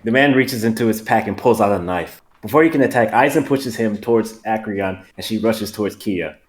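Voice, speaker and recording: A man's voice: lowish voice, brisk. A man reading stage directions aloud in a live dramatic reading, plain and matter-of-fact.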